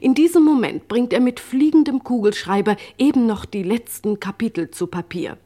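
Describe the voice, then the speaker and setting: An actress playing a formal, deadpan female radio announcer in an old German radio comedy, politely apologetic and measured. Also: fairly high pitch, natural voice. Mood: formal, straight-faced.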